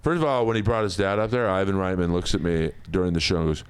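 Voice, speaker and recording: A man's voice: low-pitched, quick pace, smooth, no room sound. A man bantering casually as a comedian on a podcast, trading stories and film references.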